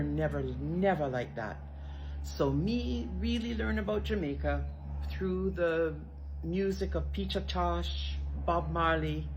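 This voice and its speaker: A woman's voice: deep voice, slight background noise, low-quality recording. An older woman who grew up in Canada, casually talking to the camera in Jamaican patois. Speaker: vlogger. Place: rural Jamaica, outdoors.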